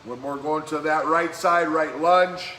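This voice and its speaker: A man leading a live-streamed workout as fitness coach, giving brisk, encouraging exercise cues in a slightly hoarse voice that keeps cracking. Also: on the high side, sharp tone. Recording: echoey room with faint background noise.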